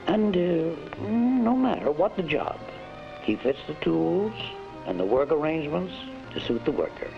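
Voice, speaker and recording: Patronizing 1940s American factory boss. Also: male voice, on the high side, big pitch swings, creaky, exaggerated voice, low-quality recording. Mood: patient, instructive. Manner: measured, didactic, hesitating with uhs. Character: patient, patronizing factory boss instructing a foreman. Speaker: factory manager. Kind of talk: 1940s instructional film acting. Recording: vintage 1940s film soundtrack, music.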